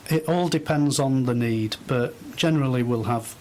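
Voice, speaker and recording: A middle-aged man giving thoughtful, halting answers as a guest in an unscripted radio interview. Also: mid-range voice, darkish tone, a little hiss or hum. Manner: hesitant, thoughtful, halting phrases. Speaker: interview guest, volunteer host.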